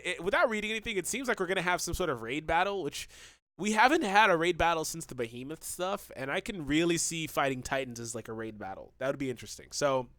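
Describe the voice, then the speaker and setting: A man hosting a gaming channel, chatting about game news with excitable, rambling energy, fillers and restarts, his voice a little stuffy from a cold. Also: fairly high pitch, no room sound, clean studio sound. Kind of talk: casual gaming news commentary.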